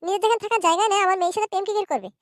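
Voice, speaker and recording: Woman as a high-voiced hostile cartoon mother. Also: very fast, no room sound, studio quality. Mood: hostile, dismissive. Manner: gruffly dismissive, insulting. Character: hostile, suspicious mother of a young girlfriend in a cartoon. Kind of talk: comedy cartoon dialogue.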